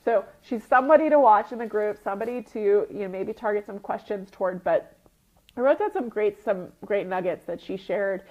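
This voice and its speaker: A woman with a mid-pitched, slightly sniffly voice speaks as a coach in an informal live teaching session. She explains step by step in a conversational way, often tagging on quick check-in questions. The recording is somewhat dull and lo-fi. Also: animated, a little mellow. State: sniffly with a runny nose. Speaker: retreat business coach and group host. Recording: dull lo-fi audio.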